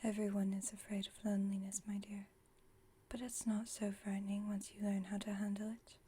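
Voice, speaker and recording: A woman playing an aloof, wry vampire friend with a secretly caring side, in a soft, breathy ASMR roleplay, speaking in a dry, teasing, unhurried way. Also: mid-range voice, monotone, slight background noise, natural voice. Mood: wry, gently comforting. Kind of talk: ASMR roleplay, intimate monologue.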